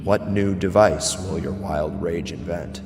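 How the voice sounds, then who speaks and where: An actor playing a grave, wary royal minister in a classical tragedy, speaking in a measured, formal way. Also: male voice, deep voice, fairly even intonation, a little mellow. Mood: alarmed, probing. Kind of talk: classical tragedy dialogue, audio drama. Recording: echoey hall reverb, music underneath. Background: dramatic music.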